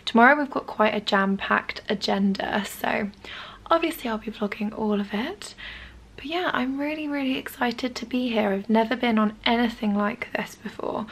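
A young woman talks straight into a camera held at arm's length. Her voice is husky and worn, sitting a little high, and she is chatty and eager. It sounds close and intimate, recorded in a small bedroom. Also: fairly bright tone. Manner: chatty, enthusiastic, lots of "really, really". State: husky, lost voice. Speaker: lifestyle vlogger. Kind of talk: casual vlog to camera. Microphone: handheld camera at arm's length.

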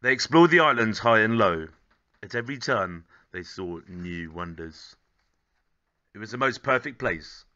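A man in his thirties narrating a children's story expressively, with measured, gently dramatic phrasing. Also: on the low side, expressive, muffled recording. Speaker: storybook reader.

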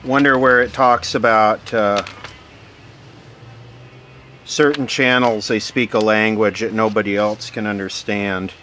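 A man giving casual, hands-on unboxing commentary, musing aloud in short phrases with pauses between them. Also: lowish voice. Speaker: vlogger unboxing vintage radio gear. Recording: steady background noise.

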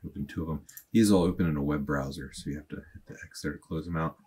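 Low-voiced guy in his 30s, kinda husky, talking through a screen tutorial at his desk, lots of ums. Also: steady tone, warm tone. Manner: thinking aloud, plain and unhurried, with fillers. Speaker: automation tutorial host. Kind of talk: casual screen-recorded tutorial. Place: small indoor room at a desk.

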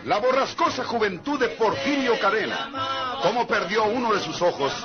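A man's voice: high voice, expressive, normal speed. A man narrating a dramatic promo for a vintage radio serial. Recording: dull old radio, loud music. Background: loud music.

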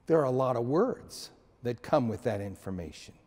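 Man in his 60s, pastor, slow measured sermon, mellow tone, long church reverb. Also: medium pitch, lively intonation. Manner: slow, measured preaching with many restarts and emphatic pauses. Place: church sanctuary, indoors.

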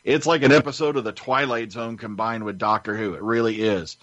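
Lowish male panelist, dry muffled sound. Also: fairly even intonation, a little fast, fairly bright tone, no room sound. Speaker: podcast panelist. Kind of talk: casual storytelling chat. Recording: dull, muffled lo-fi audio.

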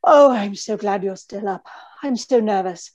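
A woman's voice: medium pitch, unhurried, darkish tone, lightly acted. A woman playing an anxious, genteel young fiancée in old-time radio mystery style, with a pleading manner. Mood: nervous, pleading. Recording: dull video-call audio.

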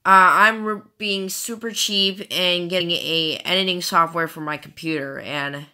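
Tired, rambling young woman, slow talker. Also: fairly low pitch, steady tone, pushed and bright. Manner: rambling, with many fillers. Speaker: amateur comic dubber. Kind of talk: casual chat. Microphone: phone.

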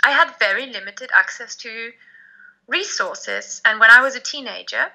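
A woman, chatting as a guest on a video call over a headset, lively and emphatic. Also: fairly high pitch, moderate pace. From Germany. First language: German. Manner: lively, emphatic, laughs often. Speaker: guest, language blogger and podcaster. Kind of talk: casual video call chat. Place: home room, indoors. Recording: dull lo-fi video call audio.

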